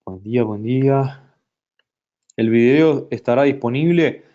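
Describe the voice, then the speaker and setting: A man presenting a software product in a webinar, talking slowly with many fillers and restarts. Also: mid-range voice, highs cut off. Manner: hesitant, many fillers and restarts, speaks slowly in Spanish for a Brazilian audience.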